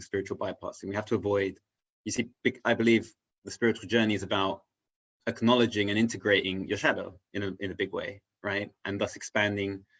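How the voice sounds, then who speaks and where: A man with a fairly low voice speaks in a casual, reflective way, as an interview guest would. He pauses and restarts as he searches for the right words. The recording is slightly dull and lo-fi, like an online call. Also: a bit bright.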